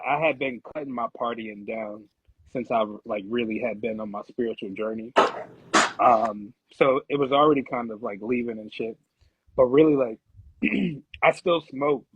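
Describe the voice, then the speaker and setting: A man's voice: mid-range voice, fairly bright tone, husky, no echo, lo-fi sound. A man talking in an emphatic, slangy way as a podcast guest, often checking that the listener follows. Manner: emphatic, slangy, keeps checking "you know what I'm saying". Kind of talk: casual chat.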